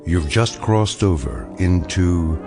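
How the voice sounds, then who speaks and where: An actor playing a grave, mysterious narrator, reading measured, portentous radio drama narration. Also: male voice, sits low, lively intonation. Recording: music behind the voice.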